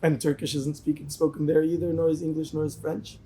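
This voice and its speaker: A man's voice: a little high, level tone, fairly quick, mellow, a little hiss or hum. A man from a band answering interview questions thoughtfully, with frequent restarts. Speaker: band member.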